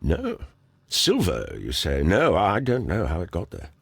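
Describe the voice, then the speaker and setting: A gruff suspect in a Victorian mystery game. His deep voice is husky and creaky, halting and wary, swinging widely in pitch. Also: wildly swooping pitch, no room sound, exaggerated voice. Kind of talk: scripted video game voice acting.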